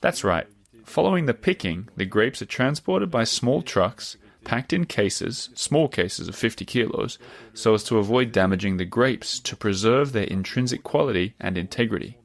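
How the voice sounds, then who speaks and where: A man speaking interview answers as a voice-over, explaining his vineyard work in a measured way. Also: medium pitch, lively intonation.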